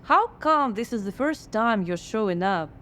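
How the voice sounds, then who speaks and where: A woman's voice: fairly high pitch, expressive, moderate pace, a bit bright, a little hiss or hum. A woman playing a skeptical, frazzled scriptwriter in a radio drama, dry and sardonic.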